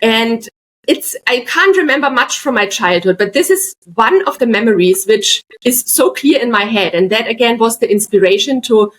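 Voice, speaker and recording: A woman's voice: a little high, expressive, moderate pace, poor audio. Middle-aged woman, podcast guest, chatty anecdote teller. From Germany, Bavaria. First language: German. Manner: chatty, tells anecdotes with frequent asides. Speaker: guest, artist. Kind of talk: casual podcast chat, storytelling.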